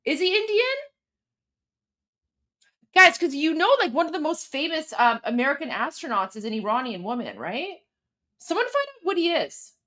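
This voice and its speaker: A woman's voice: high-pitched, moderate pace, long pauses. A woman with a Canadian accent hosting a livestream in casual, rambling chat full of fillers. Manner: rambling, filler-heavy, animated. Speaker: livestream host.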